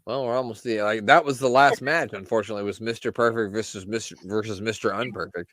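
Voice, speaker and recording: A man's voice: lowish voice, steady tone, fast talker, fairly bright tone, no room sound, clean studio sound. A man co-hosting a casual podcast, joking and laughing as he chats over an old wrestling broadcast. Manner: joking, laughs often, banters. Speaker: podcast co-host.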